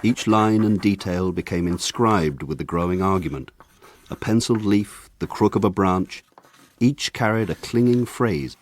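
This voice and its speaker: An actor narrating a radio drama as a grown man looking back on his village boyhood in a measured, lyrical way. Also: low voice, close to an everyday voice. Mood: reflective.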